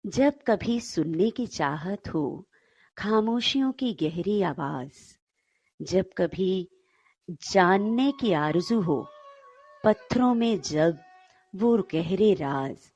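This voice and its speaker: A woman co-hosting and telling stories to listeners in an unhurried way. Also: on the low side, lively intonation, darkish tone, no echo, lo-fi sound. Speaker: co-host and storyteller.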